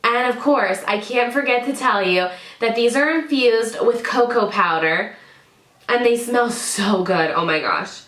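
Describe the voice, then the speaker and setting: A woman's voice: a little high, a little slow, fairly bright tone. A woman in her thirties, slightly nasal as she gets over a cold, chatting enthusiastically to camera as a beauty YouTuber reviewing products at home. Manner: chatty, enthusiastic, stresses words by repeating them. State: recovering from illness. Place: home room with drawer units, indoors. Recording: echoey room.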